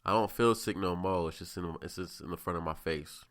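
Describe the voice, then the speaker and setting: A stuffed-up young man in his twenties with a low voice and a bright, forceful edge to it. He rambles through a loose, chatty solo podcast, sniffling between his asides. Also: fairly quick. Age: 20s. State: congested, sniffling. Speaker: podcast host. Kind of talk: casual solo podcast chat.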